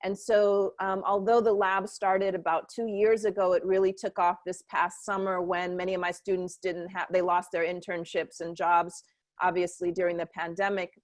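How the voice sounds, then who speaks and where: A woman's voice: lowish voice, little pitch movement, moderate pace, dry recording. Fluent academic woman, dry sound. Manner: thoughtful, fluent academic speech with fillers. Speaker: professor, panelist. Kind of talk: panel discussion on video call. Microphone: video call.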